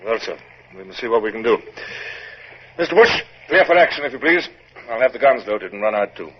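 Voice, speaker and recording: An actor playing a self-possessed British naval captain who gives brisk, clipped orders in a 1950s radio drama. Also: male voice, mid-range voice, lively intonation, breathy edge. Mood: restrained, businesslike. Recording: noisy muffled 1950s radio recording.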